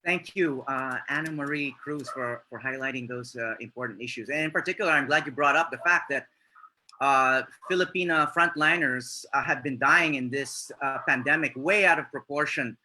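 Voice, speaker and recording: Male panel moderator, very bright forceful tone, halting delivery full of fillers. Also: mid-range voice, slight background noise, poor audio.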